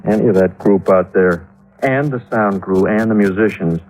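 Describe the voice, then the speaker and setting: An actor playing a jittery studio sound engineer who talks in a nervous, apologetic, hurried way in a 1950s radio drama. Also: male voice, low voice, a little mellow, close to an everyday voice, low-quality recording. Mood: uneasy.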